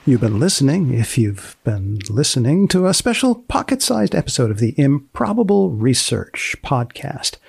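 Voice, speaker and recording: Deadpan male podcast host, slightly gravelly. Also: medium pitch, moderate pace, hardly any pauses. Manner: deadpan, mock-serious, wry. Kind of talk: scripted podcast narration with playful questioning.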